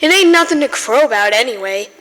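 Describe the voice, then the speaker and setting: Woman playing a high, bright, sulky neighbor boy. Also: lively intonation, fast. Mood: grudging, sulky. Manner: folksy, sulky, clipped replies. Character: grudging, doubting young neighbor boy. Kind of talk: radio drama acting. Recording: roomy hall with long reverb.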